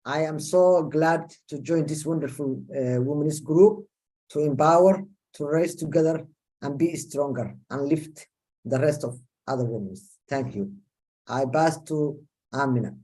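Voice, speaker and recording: A woman's voice: sits low, measured pace, warm tone, husky, poor audio. A woman from Somalia introducing herself earnestly on an online group call.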